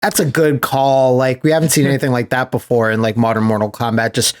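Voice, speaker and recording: A man's voice: medium pitch, level tone, brisk, clean tone. A man chatting casually as a podcast host, enthusiastic and rambling in a slangy way. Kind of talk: casual podcast chat about movies.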